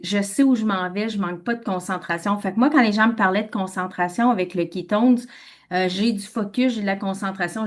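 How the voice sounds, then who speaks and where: Emphatic Québécois woman, middle-aged. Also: medium pitch. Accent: Québécois French. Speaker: webinar speaker. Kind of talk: personal testimony.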